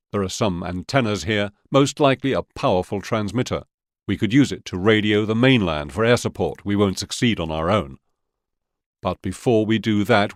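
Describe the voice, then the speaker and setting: A male actor plays a Soviet commander in a war game, resolute and businesslike. His voice sits fairly low and he sets out orders methodically. The sound is dry. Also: no echo, lightly acted. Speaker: mission briefing officer. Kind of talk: scripted war game briefing.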